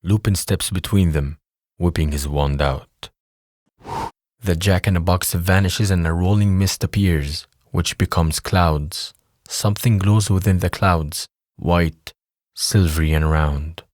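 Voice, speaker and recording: A man's voice: low-pitched, unhurried, darkish tone, dry recording, clean studio sound. A man reading scripted scene narration for an audio drama.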